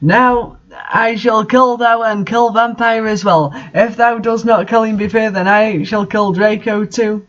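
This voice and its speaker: A man voicing an evil, menacing dark lord with a raspy voice and commanding archaic speech, in a fan-fiction reading. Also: sits high, steady tone, a little slow, muffled recording, pitched above the performer's own voice. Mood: evil, threatening.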